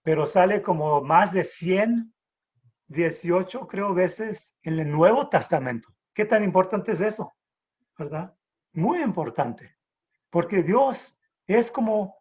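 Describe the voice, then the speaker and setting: A middle-aged man from the Texas border, raised in San Antonio, teaching the Bible informally over a video call, halting with restarts and rhetorical questions. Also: high voice, animated, a little mellow, husky.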